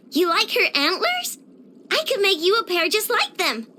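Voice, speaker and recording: A woman's voice: extremely high pitch, sing-song, unhurried, pushed and bright, a little hiss or hum, exaggerated voice. A woman voicing a cheerful, proud young girl inventor in a video game, with a bubbly, boastful, childlike delivery. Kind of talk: scripted video game character voice lines.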